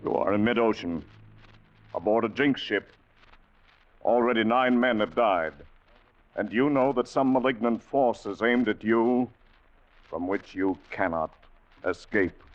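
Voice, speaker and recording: A man announcing in a dramatic 1940s radio style, ominous and deliberate. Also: fairly low pitch. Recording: dull vintage radio, music behind.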